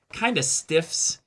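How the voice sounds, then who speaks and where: A man co-hosting a discussion show, talking casually and expressively in slangy language. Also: fairly bright tone. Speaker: co-host of an anime reaction and discussion show.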